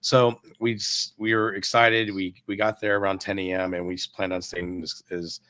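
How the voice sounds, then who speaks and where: A man with a very low, hoarse voice, still getting over a cold, tells a rambling story. The sound is dry and close, with no room around it. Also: moderate pace, dry recording. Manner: relaxed, rambling with fillers. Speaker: podcast guest. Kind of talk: casual storytelling.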